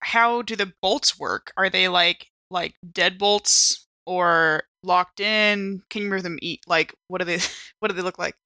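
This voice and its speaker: A woman's voice: lowish voice, unhurried, few pauses, sharp tone, no room sound. A woman chatting casually as a player in a tabletop roleplaying game.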